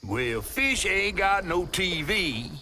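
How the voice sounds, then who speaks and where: An actor playing a folksy, cheeky giant catfish storyteller in a video game, with a drawling American Southern accent. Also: male voice, high-pitched, big pitch swings, slow pace, sharp tone, slight background noise, exaggerated voice. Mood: playful. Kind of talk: video game character acting and storybook narration.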